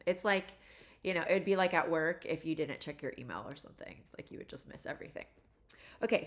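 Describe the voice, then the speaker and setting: A low-pitched woman in her forties with a stuffed-up, congested voice from allergies. She talks through a course introduction like a friendly college instructor, chatty and loose with plenty of "um" and "like". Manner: chatty, rambling, many "like" and "um" fillers. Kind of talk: course introduction video, casual lecture.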